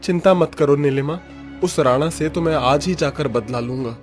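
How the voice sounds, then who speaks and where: A man's voice: on the high side, normal speed, close to an everyday voice. A man voicing a heartbroken young fisherman. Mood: furious. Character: young fisherman, grieving and angry. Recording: faint background music.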